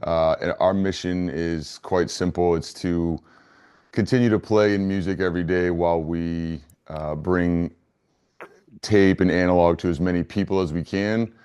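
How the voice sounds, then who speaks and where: A man with a low, clean, resonant voice answers interview questions conversationally. He sounds earnest and measured, with little rise and fall in his pitch. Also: fairly even intonation, a little mellow, smooth. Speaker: interview guest, business founder.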